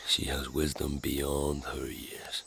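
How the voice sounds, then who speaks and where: A man playing a brooding, reluctant fantasy hero burdened with dark powers, hesitant, guarded and blunt in an audio drama. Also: rumbling low, monotone, takes it slowly, gravelly, heavily acted, rough recording. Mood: defensive, frustrated.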